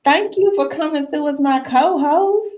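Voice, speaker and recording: A woman's voice: high voice, a little slow, a little mellow. A woman hosting a talk show in a casual, bawdy style, with playful, slangy and informal delivery. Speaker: radio talk show host.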